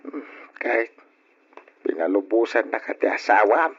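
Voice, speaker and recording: An older, cautious father in an old radio play. He questions and advises in a raspy voice. The recording is dull and lo-fi. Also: lowish voice, expressive, moderate pace. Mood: doubtful. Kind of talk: old radio drama acting.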